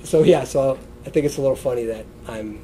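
A man's voice: medium pitch, brisk, a crowd in the background, poor audio. A middle-aged American man with a congested, sniffly voice gives an informal wellness lecture in a loose, conversational way. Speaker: chiropractor giving a wellness talk. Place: indoor stage beside a wooden lectern, seated.

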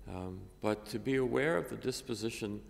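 An older man, a priest, reading a gospel and preaching a homily at a lectern microphone, measured and halting with many fillers. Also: fairly low pitch, gravelly. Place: cathedral chapel, at a lectern. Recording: long reverb of a chapel.

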